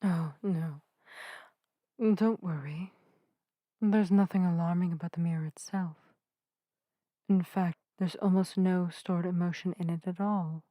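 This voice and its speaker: A woman playing a gentle, earnest witch healer in an ASMR audio roleplay, soft-spoken and soothing with old-fashioned, formal phrasing. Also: lowish voice, fairly even intonation, a little slow, no room sound, clean studio sound, lightly acted. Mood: calm, explaining. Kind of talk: narrative ASMR roleplay addressed to the listener. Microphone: close microphone in a dry space.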